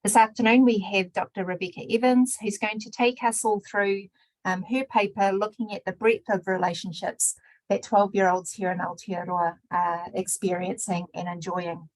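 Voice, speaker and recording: A woman opening and hosting a research webinar, measured, mixing in Māori greetings. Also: mid-range voice, fairly even intonation, no echo, poor audio. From New Zealand. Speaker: webinar host and research director. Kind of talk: scripted webinar introduction.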